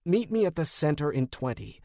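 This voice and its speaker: A man's voice: fairly high pitch, big pitch swings, brisk, gravelly, dark tone, no room sound, clean studio sound. A man voicing a wry, determined male detective in an urban fantasy audiobook, sardonic and matter-of-fact. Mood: exasperated. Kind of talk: audiobook dialogue.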